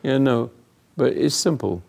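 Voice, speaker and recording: An older man hosting a talk, giving rambling, earnest moral teaching to a live group, often dropping into hushed asides. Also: medium pitch, unhurried. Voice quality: gravelly, husky. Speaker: host and spiritual counselor.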